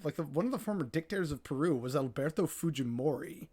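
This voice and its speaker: A man's voice: a little high, lively intonation, fast, mellow. A man speaking as an interview guest in a loose, chatty way, packed with fillers like "like". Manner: loose, filler-heavy talk, many "like"s. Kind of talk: casual conversational interview.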